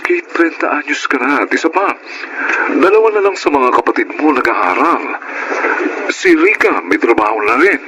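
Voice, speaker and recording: Actor as frustrated fiancé, very noisy recording. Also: male voice, medium pitch, sing-song, moderate pace, close to an everyday voice. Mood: frustrated, pressing. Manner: earnest, reproachful. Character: frustrated, insistent fiancé, adult man.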